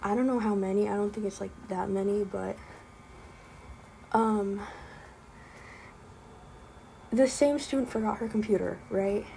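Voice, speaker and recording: A young woman with a slight lisp talks in a loose, rambling rant, full of ums and exasperated asides. Her voice is mellow and gravelly, with faint noise under the recording. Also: mid-range voice, moderate pace, rough recording. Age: young adult. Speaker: vlogger. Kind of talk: casual spoken rant.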